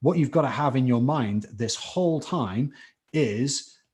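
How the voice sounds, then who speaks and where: A man's voice: medium pitch, expressive, a little slow, darkish tone. A man with a bit of a Scouse accent, teaching a live online chemistry class in a chatty, encouraging way and calling out viewers by name. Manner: chatty, encouraging, reads out chat names. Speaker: chemistry tutor.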